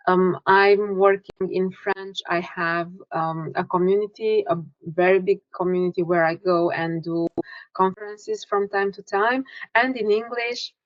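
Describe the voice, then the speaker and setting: Romanian woman, relaxed, dull call audio. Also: medium pitch, measured pace, no echo. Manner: relaxed, restarts and self-corrections. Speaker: author and energy healer guest. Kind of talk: conversational interview.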